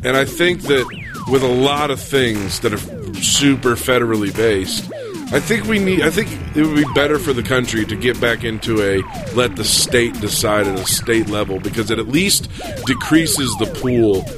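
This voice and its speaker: A man in a casual debate among friends, making his case earnestly and haltingly as he thinks aloud. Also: medium pitch, very animated, music in the background.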